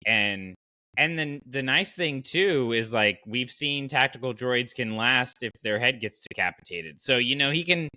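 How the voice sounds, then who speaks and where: A man's voice: mid-range voice, animated, unhurried, bright, forceful tone, no echo. A man hosting a casual fan podcast, talking through his choices in a conversational way with frequent asides. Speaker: podcast host.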